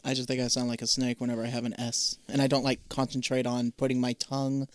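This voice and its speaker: A man's voice: mid-range voice, monotone, fast talker, dry recording. A man in casual chat who speaks in a blunt, wry way and says he draws out his s sounds. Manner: blunt, wry, talks about extended s sounds.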